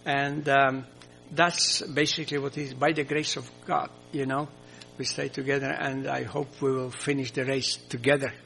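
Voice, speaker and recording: An older man from Croatia who speaks English as a second language, with a Croatian accent. He is a missionary pastor answering audience questions in an earnest, halting way, full of fillers. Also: mid-range voice, little pitch movement, gravelly, pushed and bright, slight background noise, low-quality recording.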